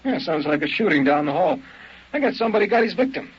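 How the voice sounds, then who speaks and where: Excitable hunter, high lively voice. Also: male voice, quick pace. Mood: keyed up. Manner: excitable, restless. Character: eager, high-strung licensed hunter in future society. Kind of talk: old-time radio drama acting. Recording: muffled vintage radio recording with noise.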